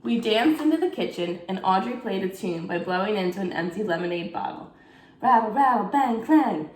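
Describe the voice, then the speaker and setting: Woman reading aloud in an echoey room. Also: medium pitch. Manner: expressive, asks young listeners questions. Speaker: teacher. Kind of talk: children's bedtime read-aloud.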